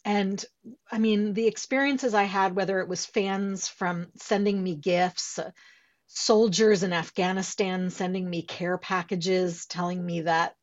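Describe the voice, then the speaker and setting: A woman audiobook narrator telling stories about her work in a relaxed interview, expressive and animated. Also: mid-range voice, measured pace. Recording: slightly dull lo-fi sound.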